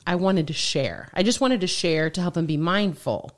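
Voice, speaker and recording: A woman's voice: on the low side, animated, normal speed. A woman co-hosting a church podcast, giggly and defensive in playful banter. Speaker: podcast co-host.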